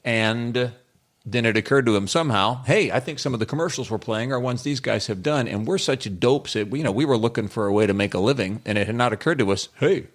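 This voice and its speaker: A man's voice: medium pitch, a little fast. An older American man telling casual anecdotes as an interview guest, wry and self-deprecating. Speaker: interview guest, former radio comic.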